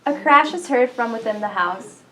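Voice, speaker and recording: A woman's voice: on the high side, animated, moderate pace. A woman reading scripted stage directions as narrator at a staged play reading. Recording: clear background noise.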